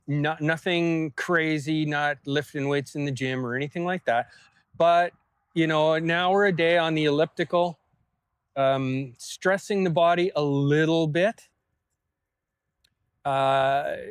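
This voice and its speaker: Slow-talking man vlogging casually outdoors. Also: medium pitch, dry recording, clean studio sound. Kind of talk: casual personal vlog to camera. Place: outdoors on a small farm.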